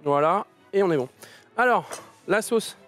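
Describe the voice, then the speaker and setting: A man in his thirties hosting a cooking show, explaining steps in an upbeat, quick way in a studio kitchen. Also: sits high, expressive, a bit bright, soft background music. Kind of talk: cooking demonstration chat.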